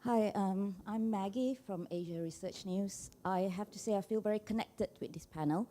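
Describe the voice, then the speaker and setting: A woman's voice: mid-range voice, moderate pace, mellow, a little hiss or hum. A woman from Malaysia putting an informal question from the floor at a conference. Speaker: audience questioner, science communicator.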